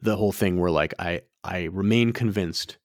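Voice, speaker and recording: A man's voice: low voice, moderate pace, no echo, clean studio sound. A man co-hosting a podcast, talking frankly and rambling, with many restarts. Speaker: podcast co-host. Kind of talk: casual podcast chat.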